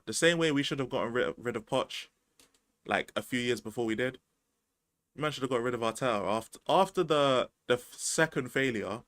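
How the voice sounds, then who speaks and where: A man's voice: medium pitch, fairly bright tone, no room sound. A man, chatting casually about football as a podcast host, slangy and rambling. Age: 20s.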